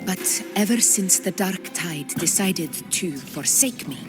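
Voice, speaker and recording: A proud warrior woman ruler from a video game. Her voice sits a little low and she speaks very slowly, solemn and confiding, as someone haunted. Loud music plays under her. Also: animated. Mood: brooding. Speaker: game character. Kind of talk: scripted dramatic game dialogue.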